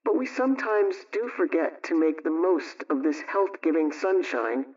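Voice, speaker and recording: Actress as female homemaking adviser for a vintage radio talk: instructive, measured, practical, with dull, distant sound. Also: deep voice, lively intonation, darkish tone. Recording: muffled radio sound, off mic.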